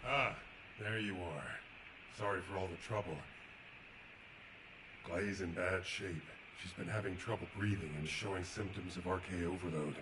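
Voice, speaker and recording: A concerned, apologetic male medic voiced by a game actor with a deep voice, in a sci-fi game scene with clear noise behind. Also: moderate pace, lightly acted. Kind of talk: scripted video game dialogue.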